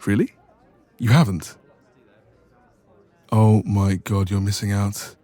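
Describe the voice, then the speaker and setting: A man's voice: fairly low pitch, slow pace, dry recording, soft background music. A man playing a playful, teasing young best friend in a romantic roleplay audio, chatting casually and intimately. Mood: warm, chatty. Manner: playful, teasing, expressive, soft laughs.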